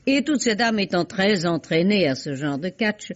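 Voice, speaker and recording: A woman's voice: medium pitch, sing-song, moderate pace. An actress playing a shrewd, gossipy society lady with an arch, cultured delivery in a vintage French radio mystery. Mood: wry. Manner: arch, ironic, articulate. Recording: dull vintage radio recording.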